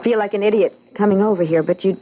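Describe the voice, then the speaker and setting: A woman's voice: mid-range voice, quick pace, a little hiss or hum, close to an everyday voice. A woman acting in an old-time radio drama as a hurt, proud, wary young fiancée who speaks hesitantly and defensively. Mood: hurt, tentative. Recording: dull, lo-fi radio drama recording.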